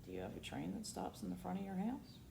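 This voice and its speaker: A woman hosting a storytime, reading aloud expressively for children and dropping in asides, moving between hushed and emphatic delivery. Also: fairly low pitch, wildly swooping pitch, fast, gravelly. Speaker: library storytime host. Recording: roomy echo with faint background noise.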